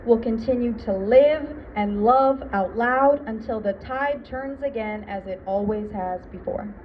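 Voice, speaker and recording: A woman hosting a public ceremony, giving an earnest, rousing prepared speech in measured phrases. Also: fairly high pitch. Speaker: event MC. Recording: muffled event recording with background noise.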